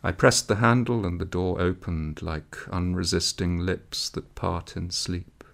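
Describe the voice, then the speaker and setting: A man's voice: sits low, fairly even intonation, measured pace, gravelly, fairly bright tone. A man reading a literary story aloud in a dramatic manner. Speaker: audiobook reader.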